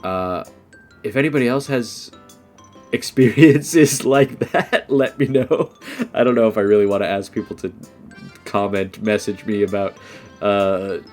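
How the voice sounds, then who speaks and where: A young American man speaks in a somewhat low voice, his nose clearly stuffed up from allergies. He talks casually and loosely, with frequent "um"s and "like"s, as the host of an unscripted English lesson. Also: unhurried, darkish tone, soft background music. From United States. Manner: rambling, many fillers like "um" and "like".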